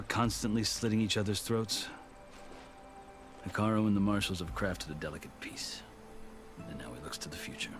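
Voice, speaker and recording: A man playing a seasoned, proud warrior turned lawgiver in a video game, telling his tale in measured, formal, eloquent phrases. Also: on the low side, slight background noise, natural voice. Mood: reflective, earnest. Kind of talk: scripted video game cutscene dialogue. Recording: music behind the voice.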